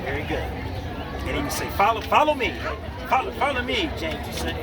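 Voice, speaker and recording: A man's voice: sits high, sing-song, brisk. A man telling a story aloud to children, informal and interactive, often checking in with his young listeners. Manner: informal, checks in with the audience, invites responses. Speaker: children's storyteller at a book festival. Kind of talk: animated read-aloud to kids, with asides and call-outs. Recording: distant mic, loud music and crowd noise. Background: music and a noisy crowd.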